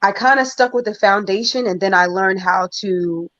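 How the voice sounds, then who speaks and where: Measured, reflective woman, muffled dry sound. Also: medium pitch, a bit bright, no echo. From New York. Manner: reflective, thoughtful, measured with fillers. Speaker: singer guest. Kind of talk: casual interview talk.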